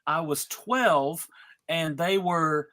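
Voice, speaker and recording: Bright-voiced woman in casual banter. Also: low voice, very slow. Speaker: convention panelist. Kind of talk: casual joking panel chat.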